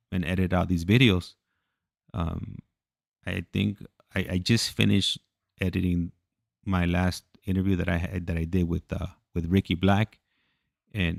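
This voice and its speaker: A man chatting casually as he hosts a livestream, halting, repeating himself and losing his thread. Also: low-pitched, gravelly, a little mellow, no room sound, studio quality. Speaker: livestream host. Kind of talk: casual rambling livestream chat.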